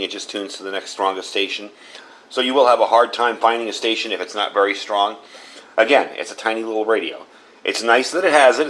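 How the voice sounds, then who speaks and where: Casual man reviewing gear. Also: lowish voice. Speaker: product reviewer and channel host. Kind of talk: casual hands-on product review vlog.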